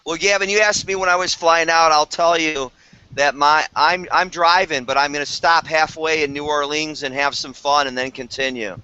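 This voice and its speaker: A man's voice: on the high side, steady tone, normal speed, pushed and bright, clean tone, dry recording. A man chatting enthusiastically on a group video call, rambling with fillers. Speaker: business team leader on a video call. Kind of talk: casual group video chat. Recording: dry, slightly dull call audio.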